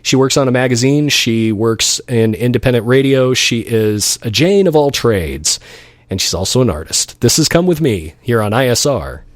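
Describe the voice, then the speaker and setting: A man's voice: mid-range voice, lively intonation, normal speed, no room sound, studio quality. A man hosting a radio show, chatting casually between songs in a rambling, affectionate way. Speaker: radio DJ host.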